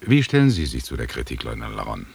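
Stern male expedition leader for radio drama: very low, husky voice, measured and authoritative. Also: wildly swooping pitch, a little mellow, full character voice. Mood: controlled, challenging. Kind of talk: German radio drama acting.

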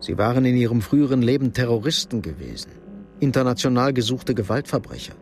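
A male narrator tells a radio drama story in a middle-pitched voice. Clear ambient noise sits behind his scripted delivery. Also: animated, fast, darkish tone.

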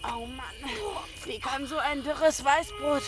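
A woman's voice: high voice, fairly even intonation, slow pace, heavy background noise. An actress in a radio drama playing a tough, foul-mouthed woman killer who is commanding, cynical and sardonic. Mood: bossy, dry. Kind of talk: modern radio drama acting.

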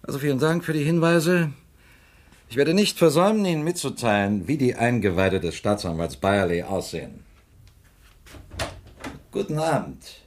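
A man's voice: medium pitch, animated, moderate pace, long pauses, close to an everyday voice. Man doing a sarcastic guy, voice swoops up and down, mocking, radio play. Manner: mocking, ironic.

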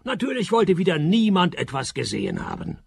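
An actor playing a cynical private detective with dry, deadpan sarcasm in a radio play. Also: male voice, fairly high pitch, brisk, vocal fry, husky, no room sound, exaggerated voice. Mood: dry narrating. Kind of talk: radio play narration and dialogue.

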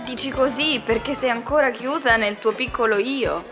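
High, gushing, earnest woman acted. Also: normal speed, close to an everyday voice. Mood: defensive. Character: Anna, excitable, earnest mystical woman party guest. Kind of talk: radio drama acting. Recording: music behind the voice.

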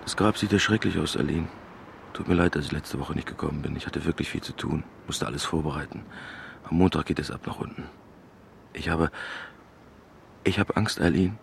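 A man's voice: extremely low pitch, fairly quick, vocal fry, pauses often, background noise, heavily acted. An actor playing a stubborn, weary working-class father in a naturalistic German radio drama. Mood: subdued, confiding. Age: middle-aged.

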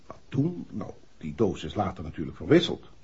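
An actor playing a matter-of-fact, precise police inspector in a 1960s Dutch radio play. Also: male voice, sits low, big pitch swings, normal speed, a little mellow, breathy edge, exaggerated voice. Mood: businesslike. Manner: businesslike, precise. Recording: dull, muffled vintage radio recording.

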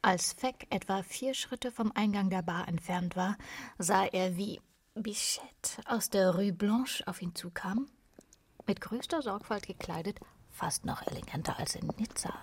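A woman's voice: mid-range voice, measured pace, husky. A woman giving scripted narration of a literary story in a radio play. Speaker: narrator and actress. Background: music.

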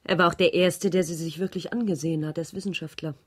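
A woman plays a concerned, doubtful character in a radio crime play. Her voice sits a little low. She is reserved and politely impatient, answering in short skeptical phrases. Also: steady tone, quick pace, close to an everyday voice. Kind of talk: radio crime drama acting.

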